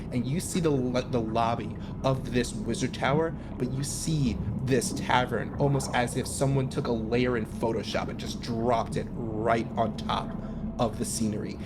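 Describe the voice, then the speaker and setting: A man improvising scene descriptions as a game master, in a halting way that circles back and rephrases. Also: medium pitch, very noisy. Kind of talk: improvised tabletop game narration.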